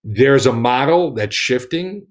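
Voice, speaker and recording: A man's voice: medium pitch, animated, slow pace. A man, speaking earnestly on an online panel from his home study over a video call, with many restarts. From United States.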